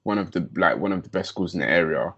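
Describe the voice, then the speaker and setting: Laid-back young man, low voice. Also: expressive, fast, husky, lo-fi sound. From London, England. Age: young adult. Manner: laid-back, slangy, laughs often. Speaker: podcast guest. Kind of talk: casual chat.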